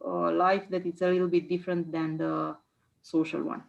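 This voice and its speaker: A woman's voice: on the low side, level tone, normal speed, vocal fry. A woman, speaking as a panelist on a video call, matter-of-fact. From Romania. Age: 40s. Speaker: panelist, lawyer. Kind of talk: online panel discussion. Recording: dull lo-fi call audio.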